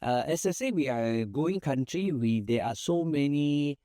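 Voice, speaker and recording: A man's voice: on the high side, lively intonation, moderate pace, mellow, no echo, clean studio sound. A Malaysian man, a small firm's managing partner, answering interview questions in an easygoing, rambling way with many fillers and restarts.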